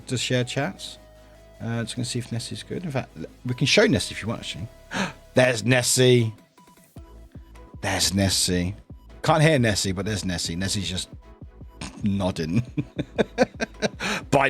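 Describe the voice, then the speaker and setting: Male streamer, casual rambling chat, lively delivery over soft background music. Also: medium pitch. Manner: rambling, lots of ums and restarts, chatty with viewers.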